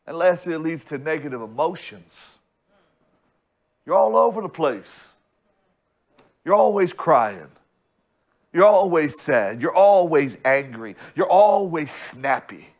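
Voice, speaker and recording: Slow, emphatic preaching man. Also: on the high side, big pitch swings. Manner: preaches slowly with emphatic repetition and restarts. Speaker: pastor. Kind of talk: sermon. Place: church stage with bright screen backdrop.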